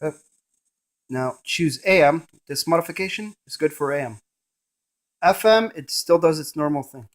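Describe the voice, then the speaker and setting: A man's voice: fairly high pitch, moderate pace, pauses often. A man presenting a casual hands-on gadget demonstration, narrating each step in short fragments.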